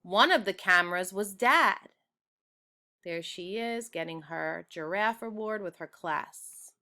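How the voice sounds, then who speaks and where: A woman's voice: mid-range voice, animated, slow, bright, forceful tone. A woman reading a children's storybook aloud expressively, as if showing it to young listeners. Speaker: read-aloud narrator for children.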